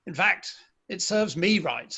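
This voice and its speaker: A bitter Victorian gentleman author and father, acted by a man, speaks in a fairly high male voice. He is exasperated and rueful, in the manner of a staged play reading. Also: expressive, unhurried, vocal fry, slightly rough, exaggerated voice.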